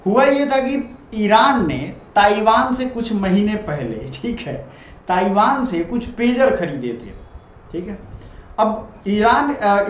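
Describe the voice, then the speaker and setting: A man gives an informal explanatory lecture as a teacher, speaking colloquially and often checking that listeners follow. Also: very high-pitched. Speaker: teacher explaining current affairs. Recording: dull, noisy, echoey room recording.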